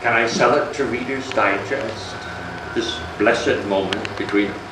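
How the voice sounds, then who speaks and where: A man's voice: on the low side, a little slow, close to an everyday voice. An actor playing a sarcastic, menacing married middle-class man in a stage play, needling in a theatrical manner. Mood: intense, accusing. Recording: echoey, distant, noisy live stage recording.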